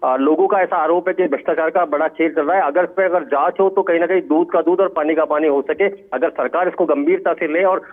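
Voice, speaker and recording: Halting male reporter on a tinny line. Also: a little high, fairly even intonation. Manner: halting, repetitive delivery. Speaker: field news reporter. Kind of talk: live unscripted news report. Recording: tinny phone-like radio-quality line.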